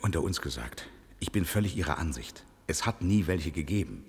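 Resigned court official, husky, widely swinging intonation, formal, old radio play in a reverberant room. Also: male voice, low voice, lively intonation, a little fast, heavily acted. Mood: resigned, confiding. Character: apologetic court official resigned to the computer's verdict. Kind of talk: 1970s radio drama acting.

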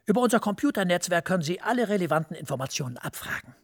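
A gravelly, hushed, scheming professor. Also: male voice, high-pitched, sing-song, very fast, full character voice. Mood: confiding. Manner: hushed, confiding, often dropping to a whisper. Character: scheming male professor, smooth-talking superior. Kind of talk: radio drama acting.

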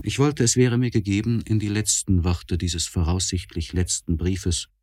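An actor playing an anguished, courtly poet in a German radio play monologue from the 1960s, declaiming solemnly with measured, weighty phrasing. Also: male voice, deep voice, level tone, natural voice. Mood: grave, reverent. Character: anguished, courtly young poet writing a confessional letter. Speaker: actor reading a literary letter.

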